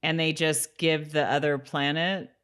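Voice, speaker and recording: A woman's voice: sits low, steady tone, a little slow, a bit bright, clean tone. A woman co-hosting a podcast, recapping in an informal, giggly way, sometimes reading from a summary. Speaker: podcast co-host. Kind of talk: casual recap chat with read-aloud summaries.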